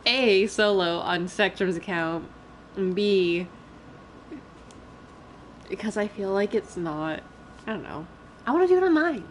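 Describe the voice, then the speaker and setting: A woman's voice: medium pitch, lively intonation, measured pace, long pauses, a little hiss or hum. A woman game streamer giving casual livestream commentary in an informal, chatty, rambling way.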